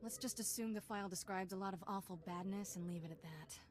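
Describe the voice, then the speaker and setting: An actress voicing a sarcastic, quick-witted young female Jedi companion in video game dialogue. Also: medium pitch, slight background noise, natural voice. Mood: wry.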